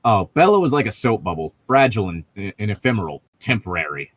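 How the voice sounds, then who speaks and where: A man's voice: fairly low pitch, big pitch swings, a little fast. A man co-hosting a casual podcast, chatting in a rambling, digressive way with some swearing as he comments on a book. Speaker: podcast co-host. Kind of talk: casual chat and commentary while reading a novel aloud.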